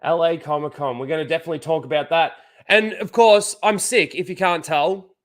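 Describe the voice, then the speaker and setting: A man hosts a casual livestream while fighting a head cold, his nose blocked. His voice sits a little high, and he rambles with constant "like"s and false starts, talking into a desk mic. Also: brisk. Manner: rambling, full of "like" and restarts. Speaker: livestream host.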